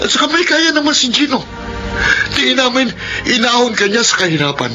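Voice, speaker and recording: A bitter, wounded adult son in a Filipino radio drama. His voice is pitched high and full of accusation and emotion. Prominent dramatic music plays behind him. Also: very animated, a little fast, highs cut off. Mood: furious, tearful.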